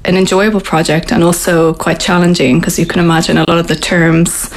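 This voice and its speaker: An Irish woman who grew up speaking Irish, explaining her practice in a relaxed, thoughtful way with frequent ums and restarts. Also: on the low side, a little fast, a little hiss or hum, poor audio. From Ireland. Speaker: interviewee, Buddhist centre member. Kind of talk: casual conversational explanation.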